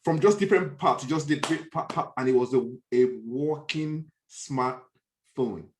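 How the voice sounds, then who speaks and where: A man's voice: fairly high pitch, unhurried. A man with a Nigerian accent in a conversational discussion, often stopping to search for words and restate his point.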